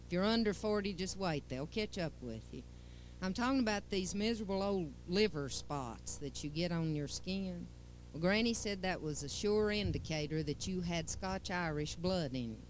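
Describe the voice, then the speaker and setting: A woman's voice: on the low side, animated, normal speed, slight background noise, poor audio. Playful woman storyteller. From Western North Carolina. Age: 40s. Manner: playful, addresses listeners directly. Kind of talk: folksy talk to an audience.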